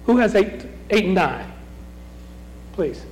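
High, lively Bible study leader. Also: male voice, slow pace. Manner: questioning, chuckling, restarts often. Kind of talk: leading a group Bible discussion. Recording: reverberant meeting room.

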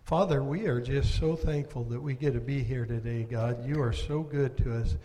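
A man leading an earnest spoken prayer before a sermon, pausing with filler sounds. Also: mid-range voice, dark tone, long reverb tail, poor audio. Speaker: church member leading prayer.

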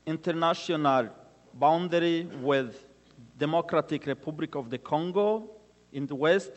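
Slow-speaking Rwandan man in a reverberant hall. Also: fairly high pitch. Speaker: guest speaker giving testimony. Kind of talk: church testimony with scripture readings. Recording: reverberant hall with long echo.

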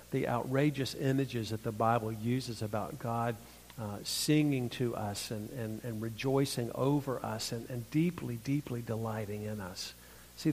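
A middle-aged man with a thin, mid-pitched voice speaks at a church conference. His sermon is earnest and reflective, with halting restarts. The recording carries a long, echoing hall reverb. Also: slight background noise.

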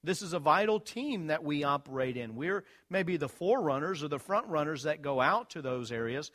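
A man's voice: on the high side, a bit bright. A man from Virginia, a missionary, thanking supporters in a friendly, lightly joking church talk while showing slides. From Virginia, USA.

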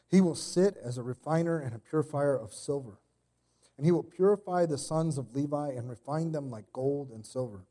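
A man in his thirties preaching a casual sermon while sick with a cold, his voice deeper than usual, with a slight cough and many fillers and restarts. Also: warm tone. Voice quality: extra deep voice today. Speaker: pastor. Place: church.

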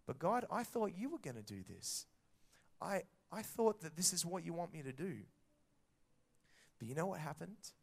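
A man preaching a sermon to children and parents, telling a story in a lively way and acting out the dialogue. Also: a little high, normal speed, gravelly, long pauses.

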